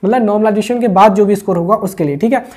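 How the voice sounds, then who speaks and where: A man talks quickly in a soft, mellow tone. He explains things informally like an online coaching host, circling back over each point and checking that listeners follow. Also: high-pitched. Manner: repetitive, with frequent check-in tags. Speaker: exam-update YouTube host. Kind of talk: informal explanatory exam briefing.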